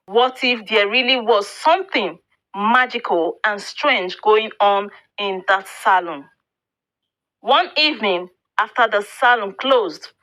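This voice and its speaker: Slow, bright-voiced woman storyteller. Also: a little high, rough recording. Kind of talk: scripted folktale narration.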